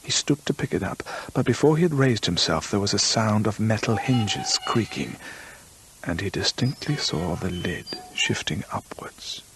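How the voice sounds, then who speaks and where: An actor playing a grave gentleman storyteller, narrating a radio drama in measured, literary phrases. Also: fairly low pitch, animated, a little hiss or hum, low-quality recording. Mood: suspenseful. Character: measured gentleman storyteller recounting another man's ghostly fate.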